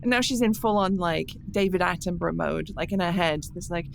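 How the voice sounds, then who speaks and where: A woman's voice: lowish voice, fairly quick, gravelly, slight background noise. A woman playing a tabletop roleplaying game, casually narrating her character's actions in hesitant, improvised phrases.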